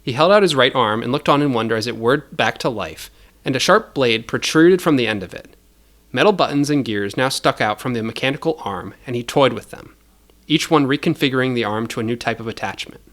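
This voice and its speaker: A man's voice: medium pitch, lively intonation, brisk, a bit bright. A man narrating scripted audio fiction as the storyteller.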